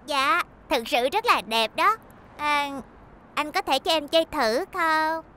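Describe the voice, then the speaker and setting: A woman's voice: high-pitched, lively intonation, takes it slowly, bright, forceful tone, a little hiss or hum, full character voice. A woman voicing an eager, pleading young boy truck in a children's cartoon, with a southern Vietnamese accent and childlike exclamations.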